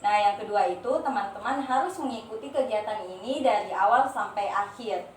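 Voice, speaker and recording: A woman's voice: mid-range voice, brisk. Young woman leading a group, echoey room. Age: young adult. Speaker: group therapy leader in a nursing student role-play. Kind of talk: guiding a role-play session. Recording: roomy, distant, faint noise.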